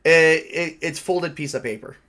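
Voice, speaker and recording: A man acting as game master, improvising tabletop roleplay conversationally in a halting, rambling way. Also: on the high side, sharp tone.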